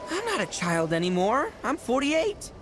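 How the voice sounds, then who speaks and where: A man's voice: very high-pitched, wildly swooping pitch, moderate pace, exaggerated voice. A man voicing a proud, earnest adolescent talking beast in a video game, with a boyish manner. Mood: teasing, indignant. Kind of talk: video game cutscene acting. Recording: music behind the voice.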